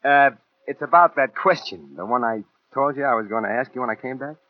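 An actor with an American accent playing a hard, rough killer in 1940s radio thriller style, with a husky voice. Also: male voice, medium pitch, brisk, no room sound, natural voice. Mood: eager, insistent. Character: cold-blooded male killer, hard and rough, courting a widow. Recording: dull vintage 1940s radio recording.

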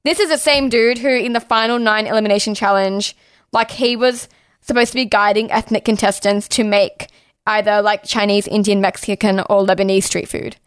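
A woman's voice: mid-range voice, fairly even intonation, moderate pace, clear, resonant tone, dry recording, clean studio sound. A young Australian woman talking casually and bluntly as a podcast co-host, saying "like" constantly and swearing freely. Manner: outspoken, heavy use of "like", swears freely.

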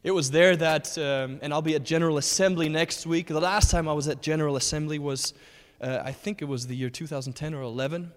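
A man in his thirties, from Germany, speaks as a guest at a church and tells his own story earnestly, stopping for fillers and restarting often. His voice sits a little high for a man. The sound carries a long reverb from a large hall. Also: steady tone, fairly quick. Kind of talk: personal testimony in a church talk.

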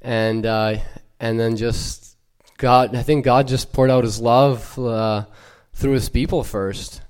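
A young man from Ukraine with no accent, giving a halting, earnest personal church testimony full of fillers and restarts. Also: mid-range voice, fairly even intonation, a little mellow, clean tone.

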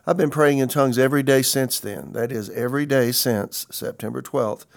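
Gravelly man in his sixties preaching. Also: mid-range voice, moderate pace. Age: 60s. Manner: earnest, conversational teaching with scripture quotes. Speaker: pastor. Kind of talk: podcast sermon teaching.

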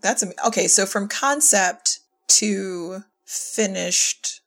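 A woman's voice: medium pitch, slow, fairly bright tone. A woman chatting casually as an audio drama creator, telling anecdotes and acting out quoted remarks in an informal way. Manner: informal, quotes herself and others conversationally. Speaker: audio drama writer-director in conversation. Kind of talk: casual chat, anecdotal storytelling.

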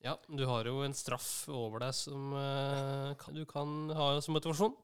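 Guy hosting a chatty podcast, smooth clean voice, stops and starts a bit. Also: mid-range voice, little pitch movement. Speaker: podcast host. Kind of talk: casual conversational podcast chat.

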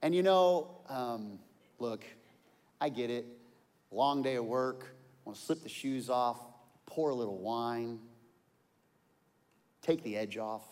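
A pastor in his forties preaching a sermon, telling stories and swinging from quiet asides to loud outbursts. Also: mid-range voice, animated, slow pace, long pauses. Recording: long hall reverb.

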